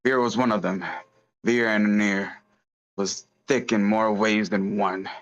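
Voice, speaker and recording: A man playing a bitter, self-pitying crime boss with a gruff, brooding manner, in a script table read. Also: on the low side, steady tone, a little slow, fairly bright tone, dull audio. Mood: musing.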